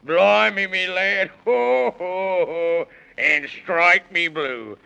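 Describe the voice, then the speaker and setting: An actor playing a hearty, pompous gentleman walrus with a British accent in a 1930s children's radio play, speaking in rhyme. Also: high-pitched, gravelly, clean tone, lo-fi sound, exaggerated voice. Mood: jovial, hearty. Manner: pompous, jovial, slow rhyming. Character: hearty, blustering gentleman walrus, loyal adventurer.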